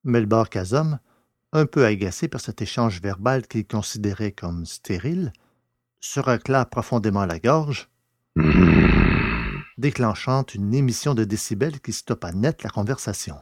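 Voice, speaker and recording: A man narrating a science-fiction story in a measured, descriptive, literary style. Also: on the low side, expressive, dry recording, clean studio sound.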